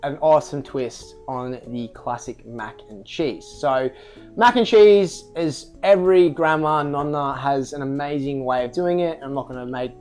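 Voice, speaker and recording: An Australian man talks through a recipe at the stove, his tone cheerful and playful. He sounds enthusiastic as he explains each step. Soft music sits low in the background. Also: unhurried. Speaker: chef and restaurant co-founder. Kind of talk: cooking demonstration chat. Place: private restaurant kitchen.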